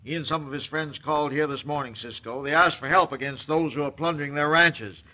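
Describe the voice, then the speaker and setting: An actor playing a stern, indignant cavalry major with formal military authority in an old-time radio western. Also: male voice, on the high side, fairly quick, pushed and bright. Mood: grim, indignant. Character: stern, indignant United States Army major.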